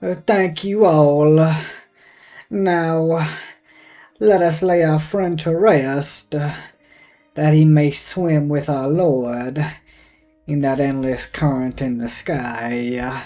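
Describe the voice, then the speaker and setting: Bumbling funeral priest, female actor: low, dark voice, halting and solemn, music underneath. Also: steady tone. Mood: solemn, fumbling. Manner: halting, fumbling.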